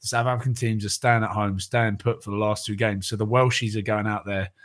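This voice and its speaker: Man, lowish voice, casual rugby chat, rambling, lots of fillers. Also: fairly quick. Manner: relaxed, rambling, trails off with fillers. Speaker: podcast co-host.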